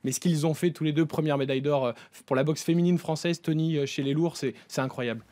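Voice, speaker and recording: A man's voice: a little high, steady tone, quick pace, warm tone. A man with a French accent hosting a broadcast interview, speaking into a studio microphone. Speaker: radio host. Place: radio studio round a table. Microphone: desk studio microphone.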